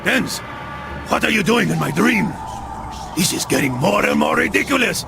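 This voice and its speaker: A cocky fighter in a crusade video game. The voice is high and creaky, full of incredulous swagger, with music playing behind. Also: male voice, expressive, moderate pace, full character voice. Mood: baffled. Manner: incredulous, theatrical bravado. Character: wry, cocky Spanish male crusade fighter. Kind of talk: dramatic video game acting.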